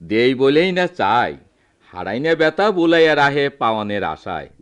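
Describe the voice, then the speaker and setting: A man's voice: medium pitch, big pitch swings, normal speed, clean tone, natural voice. A man playing a resigned elderly villager in a radio drama, lamenting in a folksy rural Bangladeshi dialect. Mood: rueful, resigned. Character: resigned, plain-spoken elderly village father.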